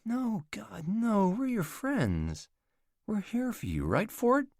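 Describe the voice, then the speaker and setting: A man reading a novel aloud, voicing a motherly woman friend who soothes and fusses in a raised, softer voice. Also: big pitch swings, slow pace, dry recording, studio quality, exaggerated voice. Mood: soothing. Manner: soothing, higher voice.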